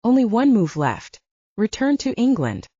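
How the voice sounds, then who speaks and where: Woman doing a gruff, brooding alpha werewolf man. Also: a little high, big pitch swings, unhurried, warm tone, no room sound, dull audio, pitched above the performer's own voice, heavily acted. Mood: resigned.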